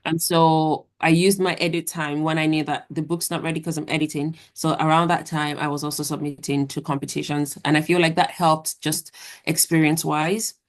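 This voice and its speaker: A young adult woman, a guest author, answering interview questions in a measured, thoughtful way. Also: sits low, little pitch movement.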